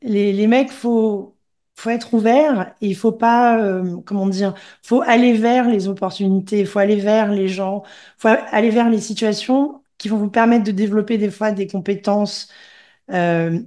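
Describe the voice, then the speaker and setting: A woman's voice: medium pitch, steady tone, measured pace, mellow. A woman from Gabon, a podcast host who interviews in an engaged, encouraging, conversational way.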